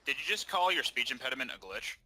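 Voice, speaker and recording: A man's voice: mid-range voice, very animated, fast, sharp tone, low-quality recording. A man joining a gaming stream, teasing the host and reading chat messages aloud. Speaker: co-player on a stream. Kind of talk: casual gaming stream banter.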